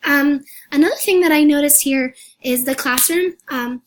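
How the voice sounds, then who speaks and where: A hoarse young woman giving a recorded class presentation, talking hesitantly with many fillers and restarts. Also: sits high, normal speed, a little hiss or hum. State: hoarse, losing her voice. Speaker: student presenter.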